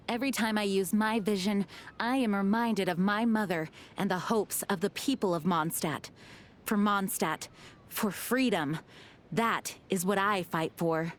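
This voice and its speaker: A woman's voice: mid-range voice, measured pace, natural voice. A woman playing a dutiful, earnest young knight in a video game, speaking formally and with composure. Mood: solemn, resolute. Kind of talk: video game character voice lines.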